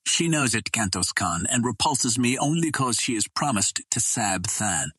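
A man voicing a resourceful, lovelorn soldier hero with a firm, measured manner in an audiobook adventure. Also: medium pitch, expressive, a bit bright, breathy edge, no room sound. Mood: wistful.

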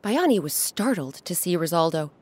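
A woman hosting and narrating a children's folktale in an expressive, dramatic storytelling style with pointed pauses. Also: lowish voice, breathy edge, no room sound. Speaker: host and storyteller. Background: music.

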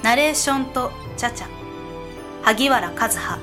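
A woman narrating a scripted historical drama in a formal, measured storytelling manner. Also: a little high, lively intonation, music in the background.

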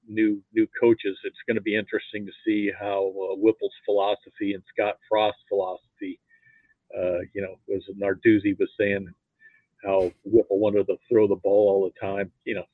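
A man from Nebraska with an American accent, chatting casually as a sports fan guest in a halting, rambling, folksy way. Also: fairly low pitch, level tone, no room sound. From Nebraska, United States. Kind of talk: casual chat. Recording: thin phone-like narrow-band line.

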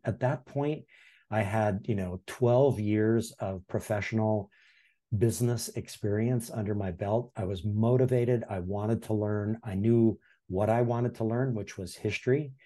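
A man in his sixties from Hawaii, telling his life story in a relaxed, reflective way as a podcast guest, with frequent ums and restarts. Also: fairly low pitch, measured pace. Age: 60s. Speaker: podcast guest, former history teacher. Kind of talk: casual interview storytelling.

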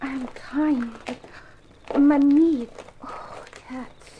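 A woman's voice: high-pitched, fairly even intonation, extremely slow, a little hiss or hum. An actress playing an uneasy but dignified young French woman with formal, careful phrasing in a 1950s radio crime drama. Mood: strained, uneasy. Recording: dull vintage 1950s radio recording.

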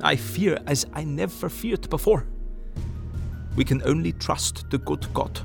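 A man's voice: fairly high pitch, creaky. A man playing a grave old professor. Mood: urgent. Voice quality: formal, halting broken English. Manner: urgent, earnest, drops to hushed tones. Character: older male professor, grave and commanding. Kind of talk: audio drama acting. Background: music.

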